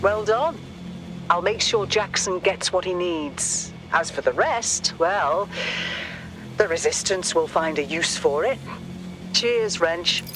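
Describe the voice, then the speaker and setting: A guarded resistance contact in a video game. She is dry and businesslike, giving brisk instructions, with faint music behind her. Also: on the low side, animated, poor audio.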